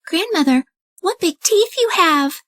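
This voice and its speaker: A woman voicing a sweet, polite little girl in a storybook reading, with a high, eager voice. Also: expressive, unhurried, a bit bright, exaggerated voice. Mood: wondering.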